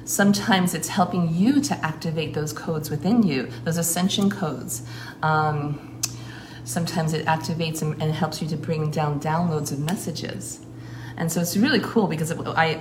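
A woman who works as a shamanic priestess, talking earnestly in a flowing way and pausing often to find her words. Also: low-pitched. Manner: earnest, flowing, with frequent filler pauses. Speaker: shamanic priestess and guest speaker. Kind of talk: casual spoken account. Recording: roomy recording with clear background noise.

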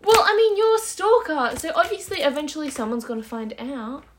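A young woman of about twenty with a fairly high voice chats informally like she is among friends. She is giggly and playfully argumentative, and the recording has some background noise. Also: very animated, a little fast. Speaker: podcast co-host. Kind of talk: casual, giggly chat between friends.

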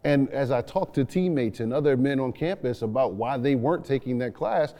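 A man speaks as co-host in a reflective discussion. His voice is mellow and relaxed, with an earnest, explanatory delivery. Long hall reverb trails his words. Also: mid-range voice, fairly quick. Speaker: co-host, youth mentor.

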